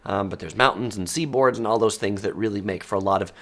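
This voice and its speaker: A man's voice: on the low side, fast, fairly bright tone. A man from the United States, hosting a casual live-stream talk about travel myths, chatty and full of ums and uhs. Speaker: travel video host. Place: Southern California.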